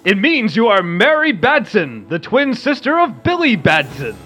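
A man's voice: high-pitched, sing-song, moderate pace. A man playing a breezy, protective big-brother superhero in an amateur comic-book radio play. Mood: kindly. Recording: music clearly behind the voice.

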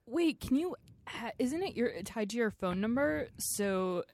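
A woman co-hosting a casual tech podcast. She makes wry, deadpan jokes. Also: on the high side, unhurried. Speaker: podcast co-host, senior producer. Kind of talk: casual chat.

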